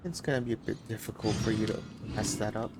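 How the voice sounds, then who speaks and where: A man's voice: fairly low pitch, steady tone, fast talker, very noisy. A man giving casual commentary on a video game playthrough. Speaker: gamer. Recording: loud game music behind the voice.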